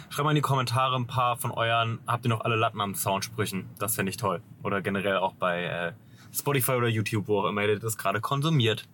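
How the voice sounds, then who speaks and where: A man's voice: fairly low pitch, fairly bright tone, a little hiss or hum. A young man hosting a casual podcast from a parked car, teasing his guest and swearing freely. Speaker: podcast host.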